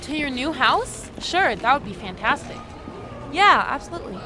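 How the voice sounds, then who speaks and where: A woman's voice: high voice, sing-song, moderate pace, music in the background. A woman playing a curious, practical young girl in an audio drama, speaking in an inquisitive, matter-of-fact way. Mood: friendly, teasing.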